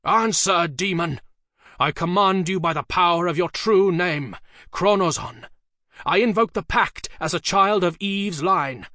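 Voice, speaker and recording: A man's voice: fairly high pitch, lively intonation, moderate pace, no room sound, studio quality, pitched above the performer's own voice. A man doing a commanding old-world magician. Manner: urgent, commanding, shouting incantations. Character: commanding Elizabethan magician conjuring a demon.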